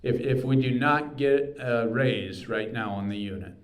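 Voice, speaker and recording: A man's voice: medium pitch, unhurried. A man working as an auctioneer, calling bids in a repetitive, rhythmic chant and explaining the bidding plainly to the room.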